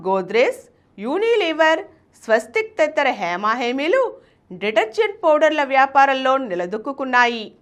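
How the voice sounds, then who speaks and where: A woman narrating a scripted story as a show host, in an expressive, emphatic storyteller's delivery. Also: sits high. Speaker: host and reader of a business success story show.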